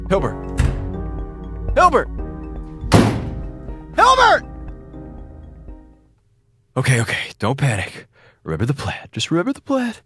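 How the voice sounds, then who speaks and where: An actor in a radio drama playing a sick, captive space station officer, sarcastic, rambling and frantic. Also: male voice, fairly high pitch, huge pitch swings, measured pace, long pauses, sharp tone, slightly rough, faint music behind, exaggerated voice. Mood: panicky, determined. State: feverish, coughing.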